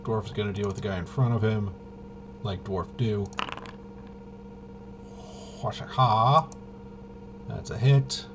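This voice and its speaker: A man thinking aloud through a tabletop game walkthrough, casually muttering to himself in a halting way and trailing off. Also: fairly low pitch, background noise. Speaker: solo tabletop RPG player demonstrating gameplay.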